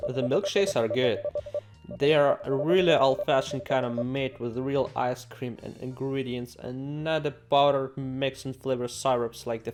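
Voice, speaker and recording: A man doing game playthrough commentary, reading the story text aloud with theatrical, sarcastic asides. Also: mid-range voice. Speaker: gaming video host. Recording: music behind the voice.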